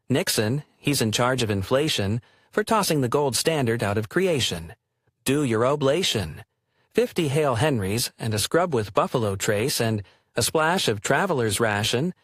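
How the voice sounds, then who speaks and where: A man's voice: mid-range voice, fairly bright tone, dry recording, clean studio sound. A man performing theatrical spoken-word poetry in a wry, declamatory way.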